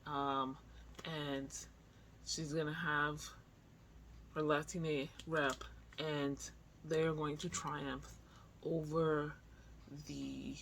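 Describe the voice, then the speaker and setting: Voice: medium pitch, flat delivery, very slow, long pauses, a bit bright, low-quality recording. A middle-aged vlogger talking casually and candidly in a chatty, informal video diary. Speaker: book vlogger. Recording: clear background noise, room ambience.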